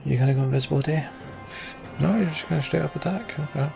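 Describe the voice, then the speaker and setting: A man's voice: a little high, fast talker, gravelly, over music. A man giving casual, informal gameplay commentary, half talking to himself with sweary, sardonic asides. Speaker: let's play host.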